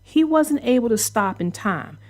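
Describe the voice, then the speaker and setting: Children's read-aloud narrator, woman, very lively intonation, unhurried, light music underneath. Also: on the high side. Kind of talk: expressive read-aloud storytelling.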